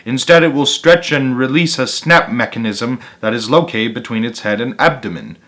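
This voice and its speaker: A man narrating as a storyteller, reading aloud for children in an animated style. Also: mid-range voice, normal speed. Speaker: storyteller, channel host.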